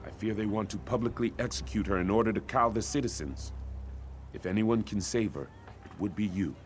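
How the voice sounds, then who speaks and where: A man's voice: fairly low pitch, fairly quick, soft background music, close to an everyday voice. An actor playing an earnest, grateful father in a fantasy video game, speaking solemnly. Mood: troubled, earnest. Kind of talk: video game character acting.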